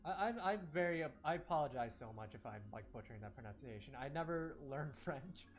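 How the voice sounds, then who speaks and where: A man's voice: fairly high pitch, animated, fairly quick, soft background music. A young man whose first language was Korean, chatting casually while live-streaming a game, rambling with lots of fillers and self-corrections. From United States.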